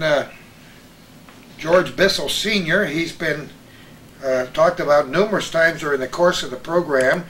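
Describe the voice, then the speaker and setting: An older man hosting a casual, unhurried chat, reminiscing about old radio with frequent pauses. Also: on the high side, fairly bright tone. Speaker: host. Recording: echoey room with background noise.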